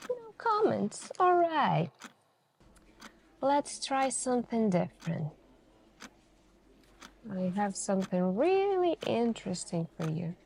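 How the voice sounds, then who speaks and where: Slow, hushed female detective, acted by a woman. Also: mid-range voice, slight background noise. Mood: pressing. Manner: slow, deliberate, hushed, pausing between short phrases. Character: calm, insistent female police detective questioning a suspect. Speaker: ASMR roleplayer. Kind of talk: ASMR interrogation roleplay.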